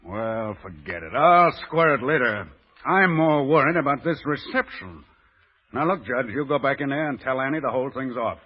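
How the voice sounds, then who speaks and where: A man's voice: mid-range voice, expressive, normal speed, husky. A male actor playing a tough, slangy New York gangster boss with an American accent in an old radio drama. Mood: worried, gruff. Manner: brash, slangy, blustering. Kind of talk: 1930s-40s American radio drama acting. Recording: muffled, dull vintage radio recording.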